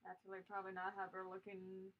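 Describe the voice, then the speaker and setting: A woman chatting casually on a livestream as she works, halting and thinking aloud as she goes. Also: mid-range voice, monotone, narrow, tinny audio. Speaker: streaming artist, host. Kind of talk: casual rambling livestream chat while drawing.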